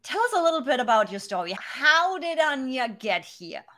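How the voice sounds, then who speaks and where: A woman's voice: sits high, big pitch swings, moderate pace, sharp tone. A woman hosting a podcast interview, chatting in a bubbly, exclamatory way. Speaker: podcast host. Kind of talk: casual interview chat.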